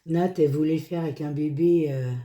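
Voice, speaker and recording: A woman hoarse from a cold, chatting casually through a hands-on craft tutorial in short, unhurried phrases. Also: sits low, fairly even intonation, dark tone. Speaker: craft video creator.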